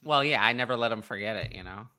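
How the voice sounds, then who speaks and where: A man's voice: lowish voice, quick pace, bright, forceful tone. A man hosting a casual sports talk show and fielding questions from a live chat.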